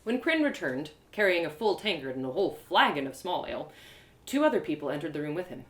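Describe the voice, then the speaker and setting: A woman narrating a first-person story live, in an expressive, theatrical storytelling manner. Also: deep voice, fast talker, reverberant. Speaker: storyteller, audio drama creator.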